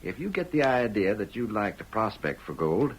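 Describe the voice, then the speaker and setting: A man's voice: fairly low pitch, very animated, normal speed, slightly rough, low-quality recording. An actor playing a shrewd male Old West homesteader in rural American country speech, in 1940s radio style on an old recording. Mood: shrewd, bargaining.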